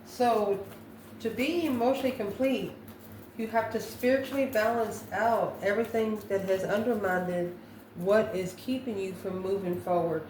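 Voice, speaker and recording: A woman's voice: medium pitch, fairly even intonation, normal speed, darkish tone. Echoey, distant woman reading tarot. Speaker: tarot reader, host. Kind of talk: intuitive tarot card reading, talking to viewers. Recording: roomy echo, distant mic, background noise.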